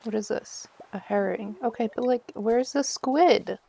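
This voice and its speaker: A woman's voice: a little high, unhurried, creaky, warm tone, husky. A woman giving casual live game commentary as a streamer, chatty and self-deprecating in her manner.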